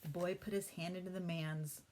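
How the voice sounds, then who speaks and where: A woman teacher narrating a children's storybook aloud in an expressive storytelling manner. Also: on the low side, normal speed, a little hiss or hum. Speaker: third-grade teacher reading aloud.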